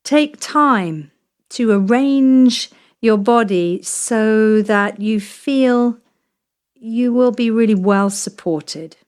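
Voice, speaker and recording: A woman yoga nidra teacher leading a guided relaxation meditation in a soothing, measured way, leaving long pauses between short phrases. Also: a little high, darkish tone.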